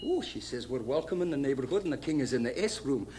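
A woman's voice: very deep voice, big pitch swings, quick pace, over music. A woman playing a friendly Scottish traveller in children's television make-believe, with a Scottish accent. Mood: cheerful, friendly.

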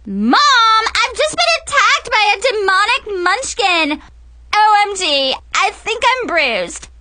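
A woman's voice: high-pitched, big pitch swings, takes it slowly, background noise, pitched above the performer's own voice, full character voice. A woman voicing a bossy, spoiled teenage girl on the phone, whiny and dramatic.

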